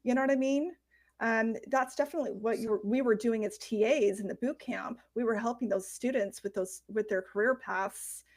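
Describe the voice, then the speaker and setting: A woman's voice: a little high, moderate pace. Woman, co-host, casual chat, encouraging, chatty. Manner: encouraging, chatty, warm praise of guest.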